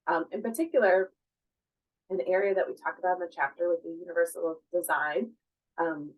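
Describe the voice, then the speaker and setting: A woman giving an academic conference presentation, hoarse from a cold, talking in a measured way with frequent fillers and questions to the audience. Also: lowish voice, darkish tone, rough recording.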